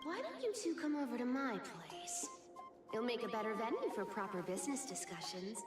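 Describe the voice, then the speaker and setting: A woman's voice: a little high, very animated, moderate pace, music in the background. An actress playing a sly, playful, teasing cat-like female thief in a video game.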